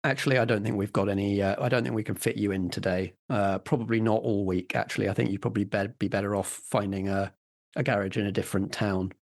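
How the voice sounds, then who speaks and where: A man's voice: fairly low pitch, steady tone, fast, vocal fry, pitched below the performer's own voice. A man playing an evasive, hesitant garage worker turning a customer away in a tabletop game. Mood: awkward, evasive. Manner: hesitant, hedging.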